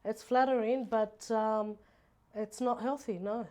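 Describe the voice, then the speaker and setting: Mellow Australian woman in casual conversation. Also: on the high side, fairly even intonation, measured pace. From Sydney, Australia. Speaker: podcast guest, makeup artist.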